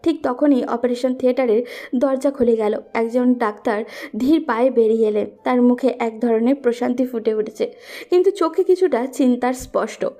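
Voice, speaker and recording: Mellow, higher-voiced woman narrating a romance. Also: normal speed. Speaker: story narrator. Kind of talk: scripted romantic story narration.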